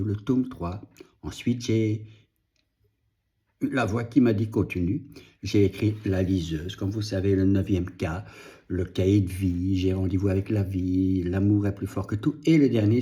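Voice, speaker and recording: A middle-aged man whose first language is Dutch, speaking French slowly and reflectively in a personal video update, pausing and restarting, audibly moved. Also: low voice, steady tone, dark tone. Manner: slow, reflective, halting with restarts. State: moved, emotional. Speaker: vlogger and author.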